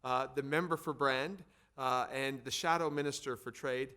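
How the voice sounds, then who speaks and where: A man's voice: medium pitch, fairly even intonation, normal speed, pushed and bright. Emphatic middle-aged American man at a public address. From United States, Arizona. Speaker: think tank head hosting and introducing speakers. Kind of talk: semi-formal public address, partly off the cuff.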